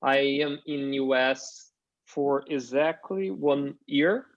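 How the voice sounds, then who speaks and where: A man from Brazil whose first language is Portuguese, speaking halting English with a Brazilian accent as a student in a class. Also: medium pitch, highs cut off. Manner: halting, searching for words. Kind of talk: online class introduction.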